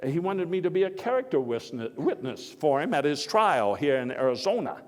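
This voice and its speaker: An actor playing a vigorous, patriotic elder statesman giving a folksy, emphatic, halting speech in a live historical reenactment. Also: on the high side, big pitch swings. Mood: wry storytelling. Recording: echoey live hall, faint background noise.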